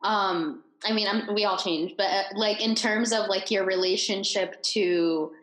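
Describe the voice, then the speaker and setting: A woman's voice: medium pitch, steady tone, a little slow, a bit bright. A woman co-hosting a podcast, outspoken, often stumbling at the start of her questions in a casual chat. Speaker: podcast host. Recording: echoey room.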